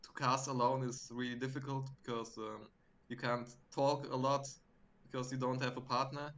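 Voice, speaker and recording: A man who speaks English as a second language chats before a match as co-caster. He talks hesitantly, pausing on fillers and laughing softly. His pitch sits in the middle range. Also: little pitch movement. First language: not English. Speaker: esports co-caster. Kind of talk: casual pre-show chat.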